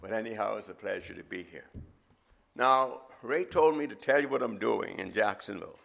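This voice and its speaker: A middle-aged man with a Bahamian accent, preaching a sermon as a visiting preacher in a measured, emphatic way. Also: fairly low pitch, expressive, gravelly, fairly bright tone.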